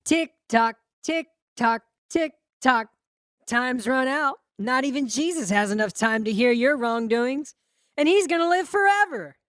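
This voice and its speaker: A woman voicing a vengeful, gleefully cruel boy in an audiobook, with a taunting, drawn-out delivery. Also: sits high, expressive, fairly bright tone, no room sound, clean studio sound. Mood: menacing taunts. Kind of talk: audiobook character acting.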